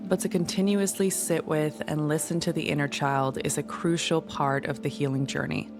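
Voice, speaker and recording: A woman leading a guided meditation in a soothing, gentle, unhurried manner. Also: sits low, fairly even intonation. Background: music.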